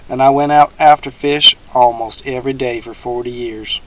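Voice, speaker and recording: A man voicing a gruff, menacing old male swamp hermit with a folksy backwoods drawl. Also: mid-range voice, fairly even intonation, normal speed, lightly acted, rough recording.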